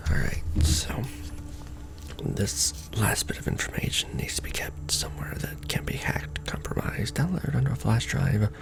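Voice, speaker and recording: A man playing a casual, wry secret-society intake clerk in a soft-spoken, half-whispered ASMR roleplay, deadpan and rambling. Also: lowish voice, moderate pace, vocal fry. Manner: deadpan, rambling, mumbling to himself over paperwork. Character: casual, wry male Illuminati recruitment clerk doing intake paperwork.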